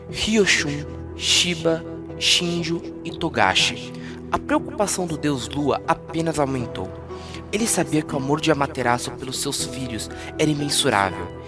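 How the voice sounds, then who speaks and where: A Brazilian man reads a scripted fantasy legend as a dramatic narrator. His delivery is solemn and story-like, with a mid-range pitch. Music plays clearly behind his voice.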